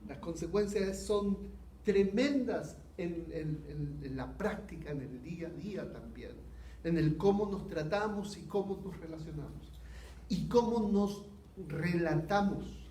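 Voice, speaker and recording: A Chilean man preaching a sermon, earnest and unhurried, asking his listeners rhetorical questions. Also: on the high side, mellow, a little hiss or hum. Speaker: pastor. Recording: echoey hall.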